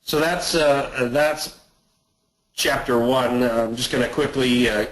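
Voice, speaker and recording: A man presenting on an investment webinar, speaking haltingly and often repeating words. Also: mid-range voice, fairly even intonation, fairly bright tone, poor audio. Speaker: investment manager hosting a client webinar. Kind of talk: presentation on a conference call.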